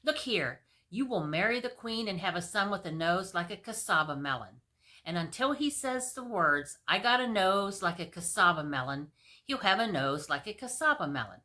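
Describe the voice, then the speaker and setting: A woman's voice: lowish voice, expressive, normal speed, pushed and bright. A woman playing a towering, stern male genie in a storybook, imperiously handing down decrees. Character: commanding, stern seven-foot male genie.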